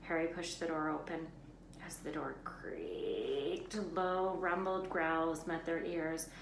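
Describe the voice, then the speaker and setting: A woman reading a children's novel aloud as an expressive storyteller. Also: low voice, slow, gravelly. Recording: roomy echo, faint background noise.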